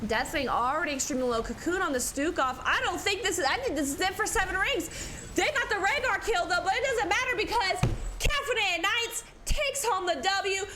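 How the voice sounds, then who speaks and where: A woman doing live play-by-play commentary on an esports match, sounding stuffy from allergies and getting excited in close fights. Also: very high-pitched, fairly quick, background noise. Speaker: esports caster.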